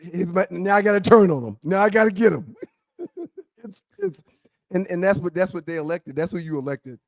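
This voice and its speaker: A man's voice: sits high, big pitch swings, moderate pace, no room sound. A man co-hosting a talk show in casual banter, joking and repeating phrases for emphasis.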